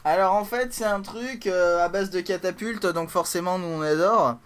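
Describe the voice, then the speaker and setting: High, smooth-voiced joking co-host man. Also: moderate pace, a bit bright. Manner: hesitant, playful, explains things as if to children. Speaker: podcast co-host. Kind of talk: casual comedic podcast chat.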